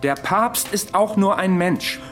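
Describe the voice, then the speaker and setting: An actor playing a blunt, persuasive advisor in a historical radio drama, urgent and commanding. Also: male voice, high-pitched, expressive, fairly bright tone, faint music behind, exaggerated voice. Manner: urgent, commanding, deliberate when dictating. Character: insistent, blunt, persuasive male advisor to a monk.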